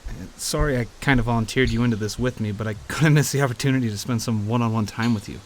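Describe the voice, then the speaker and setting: A man playing a teasing, warm-hearted young friend in an audio roleplay, conversational and given to playful grumbling. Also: mid-range voice, fast, slight background noise, close to an everyday voice. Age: young adult. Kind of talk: audio roleplay acting, addressing the listener.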